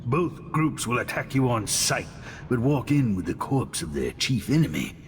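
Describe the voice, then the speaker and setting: An actor playing a gruff, weary ally giving mission briefings in a video game, gravelly and matter-of-fact. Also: male voice, medium pitch, very animated, normal speed, natural voice. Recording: long reverb, engine and ambient noise.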